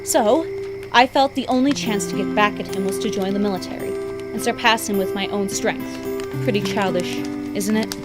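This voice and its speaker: A proud, sour young female officer voiced by an actress in a visual novel. She speaks bluntly at a medium pitch, with a prickly edge, over loud music. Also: normal speed, natural voice. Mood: guarded, candid. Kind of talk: visual novel character acting.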